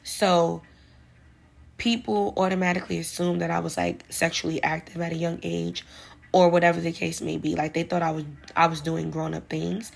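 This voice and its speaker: A young woman with a New York accent talks straight to her viewers in a relaxed vlog, with lots of "like" fillers. Her voice sits a little low and carries a gravelly fry, and she keeps a casual, confiding tone throughout. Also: moderate pace. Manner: chatty, speaks straight to viewers, many "like" fillers. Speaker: vlogger.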